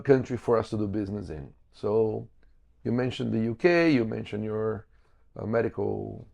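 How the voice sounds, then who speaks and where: A man's voice: mid-range voice, vocal fry, darkish tone. A man from Brazil, a native Portuguese speaker, explaining things step by step as an interview guest. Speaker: podcast guest, financial business owner.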